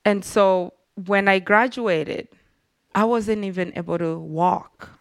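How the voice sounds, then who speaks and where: A woman with a Rwandan accent recounts her own life as an interview guest, her voice sitting at an ordinary middle pitch. She tells it earnestly and reflectively, with lively turns. The sound is dry and close, with no room echo. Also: takes it slowly, smooth. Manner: earnest, reflective, animated storytelling. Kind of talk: personal storytelling in an interview.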